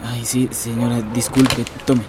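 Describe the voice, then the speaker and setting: A man's voice: medium pitch, completely flat delivery, a little slow, noisy background, lightly acted. An actor playing a frightened, polite young man on the run, nervous and jittery, in a radio drama. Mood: hurried, polite. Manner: nervous, jittery, repeating himself.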